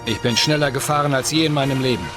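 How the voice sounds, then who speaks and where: A man's voice: medium pitch, fast, music in the background, natural voice. An actor playing a young taxi driver recounting his ordeal tersely in video game narration. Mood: tense recollection.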